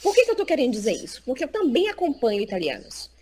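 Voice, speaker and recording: A Brazilian career consultant speaks on a video call, chatty and full of fillers. Her pitch sits a little high and swings widely as she talks. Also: very fast. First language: Portuguese. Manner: chatty, lively, lots of fillers like "então, assim". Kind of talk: casual live interview.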